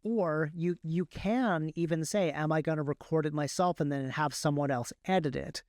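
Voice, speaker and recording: A man with a clear, resonant voice pitched a little high. He talks casually as an interview guest, explaining how he works and revising his phrasing along the way. The sound is dry and close. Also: moderate pace, darkish tone, no echo. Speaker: guest, indie author and audiobook narrator.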